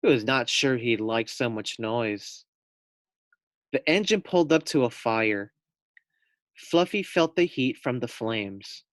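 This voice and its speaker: A man's voice: mid-range voice, lively intonation, slow, dry recording. A man reading a children's storybook aloud as a teacher, expressively, putting questions directly to young listeners.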